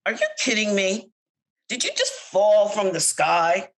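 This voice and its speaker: A middle-aged American woman recounting her work experiences in an interview, speaking candidly and growing animated when she quotes others. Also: mid-range voice, unhurried. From United States. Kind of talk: personal account in an interview.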